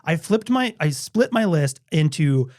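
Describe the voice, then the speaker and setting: A man's voice: a little high, sing-song, measured pace, darkish tone, no room sound, studio quality. A man co-hosting a podcast in casual chat, laid-back and informal, talking in loose stop-start phrases. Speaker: podcast co-host; university faculty member.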